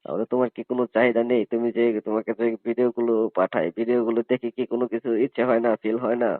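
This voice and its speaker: Guy acting pushy college hostel boss in a call drama, talks fast, keeps pressuring, medium pitch. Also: flat delivery, no echo, phone-quality sound, close to an everyday voice. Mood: coaxing. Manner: insistent, persuasive, pressuring. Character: pushy, coercive male college hostel superintendent propositioning a student. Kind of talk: staged phone-call drama.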